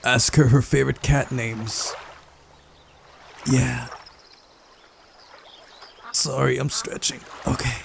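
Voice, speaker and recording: A man's voice: medium pitch, steady tone, slow, creaky, long pauses, slight background noise. A man hosting a visual novel playthrough, reading the story aloud with casual, playful asides over game music. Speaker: video game playthrough host.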